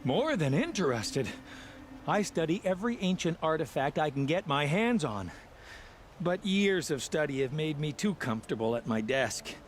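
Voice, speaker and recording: An actor playing a pompous, excitable scholar of ancient artifacts in a video game, with theatrical enthusiasm. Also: male voice, fairly high pitch, normal speed, a little hiss or hum. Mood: earnest. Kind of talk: video game character acting.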